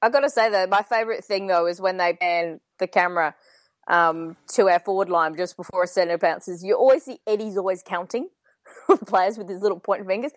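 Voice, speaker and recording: Croaky-voiced Australian woman, chatty. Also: medium pitch, fairly quick, dry recording. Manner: chatty, joking, laughs often. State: slightly croaky voice. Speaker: podcast co-host. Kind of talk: casual chat about football.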